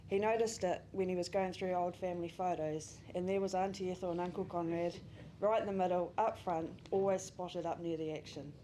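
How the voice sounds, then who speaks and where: Young New woman, eulogy reader, low mellow tone, faint noise. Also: steady tone, a little fast, rough recording. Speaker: family friend giving a eulogy. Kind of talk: reading a memorial speech. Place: memorial service venue.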